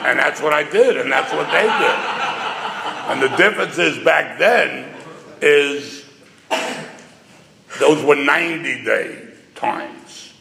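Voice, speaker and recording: An older man tells his story slowly and deliberately, his voice deep with gravel and grit. He speaks into a stage microphone, and his wry, self-mocking asides ring out through a large hall with a long, roomy reverb. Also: background noise. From New York. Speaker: speaker addressing an audience. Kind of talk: personal storytelling talk. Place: large hall before a live audience.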